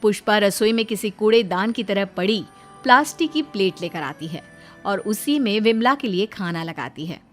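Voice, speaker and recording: A woman's voice: medium pitch, expressive, moderate pace, soft background music. A woman reading a scripted moral story as its narrator.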